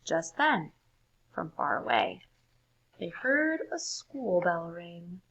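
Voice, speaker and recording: A woman reading a story aloud for children, expressive and deliberate, pausing to ask listeners questions. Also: fairly low pitch, breathy edge, muffled recording. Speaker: storybook reader.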